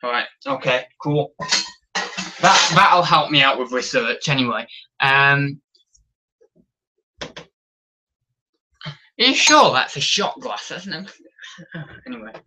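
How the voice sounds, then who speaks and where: A man's voice: medium pitch, very animated, takes it slowly, pauses often, pushed and bright, faint music behind, low-quality recording. A young man on a casual live stream, showing auction finds and reading the chat, rambling with plenty of ums and restarts, recorded on a camera in a small room. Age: young adult. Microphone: camera on tripod.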